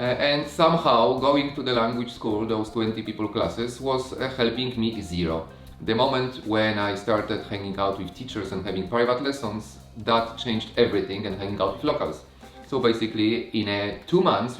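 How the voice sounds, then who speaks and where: A man who manages a language school, speaking English as a second language in a relaxed chat, often pausing with fillers. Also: lowish voice, echoey room. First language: not English. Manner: animated, halting with many fillers. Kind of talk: casual conversational chat.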